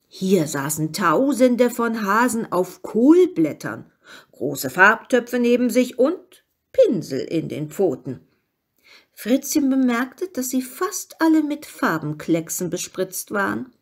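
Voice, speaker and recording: Woman reading a fairy tale, slow n animated, bit husky, dramatic emphasis. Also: medium pitch. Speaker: story reader. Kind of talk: expressive fairy-tale narration.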